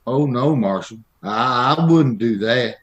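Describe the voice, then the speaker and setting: A man's voice: medium pitch, lively intonation, measured pace, highs cut off, lightly acted. A man playing a meek, peculiar little drifter in a radio Western, speaking in a hesitant, folksy way. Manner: hesitant, simple, folksy drawl-like phrasing. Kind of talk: old-time radio Western acting.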